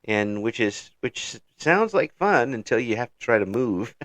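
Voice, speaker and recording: A man's voice: mid-range voice, very animated, moderate pace, fairly bright tone, dry recording. A man hosting a casual interview. He chuckles often and restarts his phrases. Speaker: interview host.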